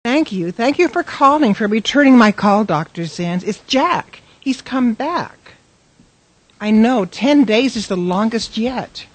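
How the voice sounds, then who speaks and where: A woman's voice: fairly high pitch, expressive, a little slow, slightly rough, no room sound. A woman playing a bar owner's devoted, fussing wife in a radio drama, speaking in short phrases. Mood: businesslike, worried.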